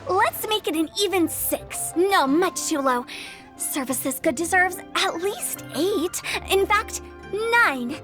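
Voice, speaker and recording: A woman's voice: extremely high pitch, expressive, takes it slowly, heavily acted. A woman playing a playful, theatrical young funeral parlor director with a teasing manner in a video game. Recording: faint music behind the voice.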